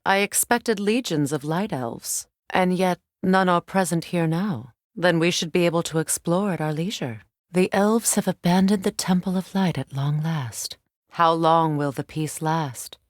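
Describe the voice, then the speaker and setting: An actress performs a warrior goddess from a Norse fantasy video game, a proud woman with a slightly low voice. Her phrasing is formal and measured with a dry edge. The sound is studio-dry, with no room around it. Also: dry recording, close to an everyday voice. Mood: wary, calm. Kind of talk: dramatic video game voice acting.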